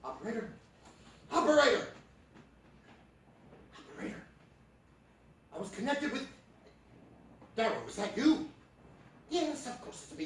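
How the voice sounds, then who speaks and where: A man's voice: high voice, a bit bright, exaggerated voice. Man acting a blustering, hot-tempered father, echoey and distant. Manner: sputtering, exasperated. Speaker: stage radio-play actor. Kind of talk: live radio play acting. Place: theatre stage, live performance. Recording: echoey stage hall from far off.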